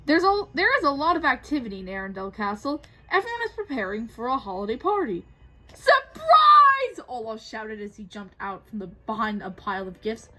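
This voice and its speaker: A woman reading a children's storybook aloud in an expressive narrating style. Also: on the high side, quick pace, few pauses, slight background noise, low-quality recording. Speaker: co-host reading a picture book aloud.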